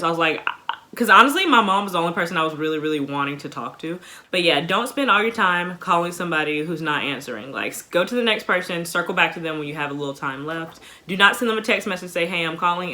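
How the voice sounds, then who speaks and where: A woman's voice: on the low side, bright, forceful tone. A woman in her twenties with an American accent, giving casual advice to viewers in an informal, emphatic, conversational way. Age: 20s. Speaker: vlogger giving basic training tips.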